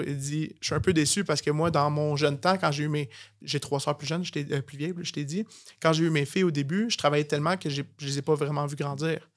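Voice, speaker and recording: Fast-talking Quebec man, fairly high voice. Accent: Quebec French. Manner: informal, emphatic, sprinkles in English slang. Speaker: podcast guest, real estate agency owner. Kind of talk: casual podcast conversation.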